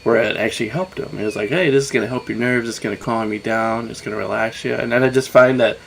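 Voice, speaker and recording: This is a young adult man hosting a podcast, and his voice has a gravelly edge to it. He asks questions in a relaxed, easygoing way and leans heavily on fillers. There is a faint hiss of noise in the background. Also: mid-range voice, quick pace, low-quality recording. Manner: laid-back, filler-heavy questioning. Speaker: podcast host. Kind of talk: casual interview.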